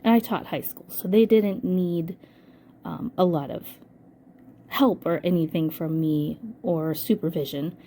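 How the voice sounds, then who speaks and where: An older woman with a hoarse voice from a cold, casually narrating a scrapbooking voice-over and thinking aloud with frequent ums. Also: fairly low pitch, dark tone, slight background noise. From United States. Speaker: scrapbooking channel host.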